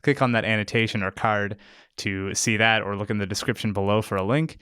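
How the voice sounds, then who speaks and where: A man with a smooth, clear and fairly low voice narrates a casual how-to video. The recording is close and very dry. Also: steady tone, fairly quick, fairly bright tone, no echo. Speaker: tutorial host, sound designer.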